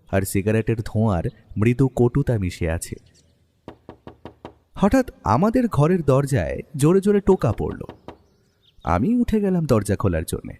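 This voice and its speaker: Brief: a man playing a first-person narrator in a radio drama, mellow voice rising and falling, long reverb over soft music. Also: medium pitch, lightly acted. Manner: lively, expressive storytelling. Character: the detective's male companion and writer, first-person narrator. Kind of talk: storytelling narration in an audio drama.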